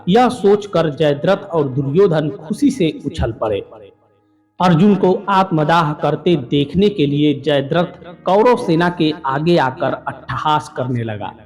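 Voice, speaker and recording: A man narrating a mythological story in an even, formal storytelling manner. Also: a little high.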